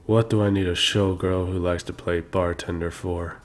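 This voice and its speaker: A man's voice: sits low, steady tone, normal speed, soft background music, natural voice. A man playing a scornful ex-cop hired by the mob in an ASMR roleplay, blunt and dismissive. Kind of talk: ASMR roleplay audio drama.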